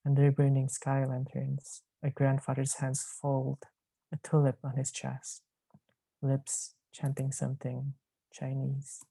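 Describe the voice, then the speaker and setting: A man reading his poetry aloud in a measured, deliberate way. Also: mid-range voice, completely flat delivery, gravelly, mellow, no echo, rough recording. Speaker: poet.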